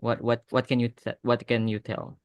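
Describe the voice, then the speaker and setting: A man's voice: medium pitch, monotone, moderate pace, smooth, no room sound. An Indonesian man hosting a casual podcast interview, repeating short phrases and slipping in bits of English. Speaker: podcast host and animation creator.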